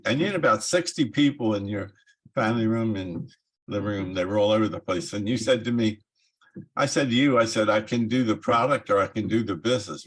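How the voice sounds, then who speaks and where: A man in his nineties joins a call as the guest speaker and talks in a folksy way. He chuckles often, and his low voice sounds relaxed and plain. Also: low-quality recording. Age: 90s. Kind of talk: casual call talk.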